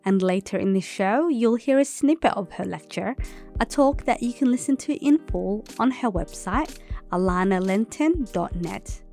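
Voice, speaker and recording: A woman's voice: medium pitch, animated, normal speed, a little mellow, faint music behind. Woman radio host, scripted intro. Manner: scripted, clear presenting. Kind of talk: community radio current affairs hosting.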